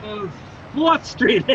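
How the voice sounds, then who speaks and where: An actor playing a tipsy, rambling street drunk in an old-time radio drama, chuckling and muddled. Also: male voice, extremely high pitch, bright, forceful tone, dull audio, slight background noise, exaggerated voice. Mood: muddled, merry.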